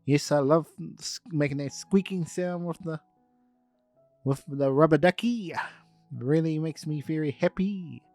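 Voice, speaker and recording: A man's voice: fairly high pitch, a little slow, darkish tone, dry recording, soft background music, natural voice. A man voicing a cheerful puppet boy, with chirpy, sing-song bedtime talk.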